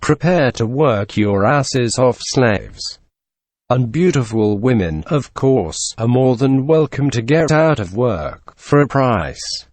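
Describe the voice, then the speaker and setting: A man's voice: mid-range voice, lively intonation, takes it slowly, darkish tone, dry recording. A man playing an arrogant, ruthless god of war, giving a pompous, menacing monologue in a scripted drama.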